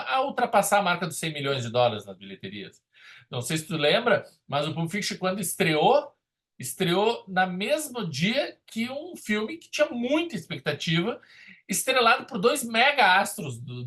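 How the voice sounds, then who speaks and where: A man's voice: high-pitched, fairly bright tone. A man in his forties with a southern Brazilian accent, co-hosting a casual film discussion, speaking emphatically and often rephrasing himself. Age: 40s. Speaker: podcast co-host and film critic.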